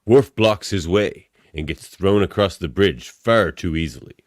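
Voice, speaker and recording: A man's voice: low-pitched, a little slow, heavy background noise. A man narrating a comic radio play, reading out scene directions as a podcast narrator.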